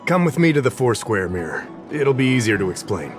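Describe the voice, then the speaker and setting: An actor playing an affable, easygoing investigative official in a video game, conversational and explanatory. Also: male voice, medium pitch, sing-song, brisk, music in the background, close to an everyday voice. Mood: explaining. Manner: conversational, slightly absent-minded, explanatory. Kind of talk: video game character acting.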